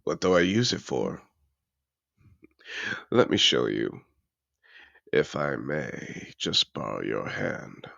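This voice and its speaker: A man's voice: very deep voice, sing-song, takes it slowly, long pauses, pushed and bright, no room sound, dull audio, heavily acted. A man voicing a suave, seductive dragon disguised as a wealthy aristocrat in a fantasy audio roleplay, speaking in a courtly, formal way. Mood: teasing, seductive. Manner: courtly, formal, smooth-talking.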